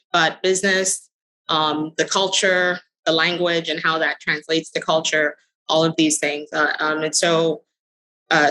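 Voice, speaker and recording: Woman guest in an interview, pretty low bright voice, pauses a lot while telling her story. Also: low-quality recording. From the Bahamas. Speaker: interview guest, crypto company executive. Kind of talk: conversational interview answers, personal storytelling.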